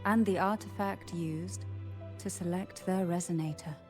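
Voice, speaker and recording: A woman playing a composed, secretive noblewoman in a video game, speaking in a formal, measured way. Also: on the low side, steady tone, darkish tone, natural voice. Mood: solemn, explanatory. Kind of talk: scripted video game dialogue. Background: music.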